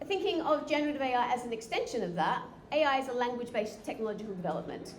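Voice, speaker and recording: A woman's voice: on the high side, animated, rapid-fire. A woman giving a live conference talk, chatty with frequent fillers and restarts. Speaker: conference speaker. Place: conference room. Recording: echoey hall with faint noise.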